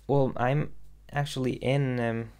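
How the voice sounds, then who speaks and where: A young man from Sweden chatting casually on a livestream, answering viewer questions in a hesitant, soft-spoken way with lots of ums and restarts. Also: mid-range voice, level tone, takes it slowly, smooth. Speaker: independent animator streaming.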